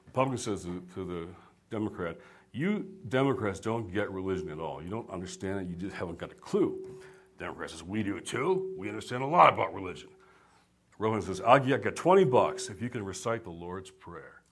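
A man with a fairly low voice talks earnestly, with a preacherly rhythm, building his points in repeated phrases. He speaks as a guest on a discussion panel. Steady noise runs underneath the recording. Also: brisk. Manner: earnest, preacherly cadence, tells jokes. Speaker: guest author.

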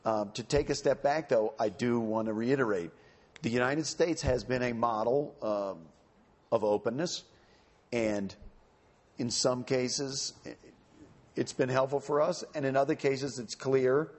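A man on a panel answering audience questions in a measured, explanatory way. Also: mid-range voice. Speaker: panelist speaking for a campaign.

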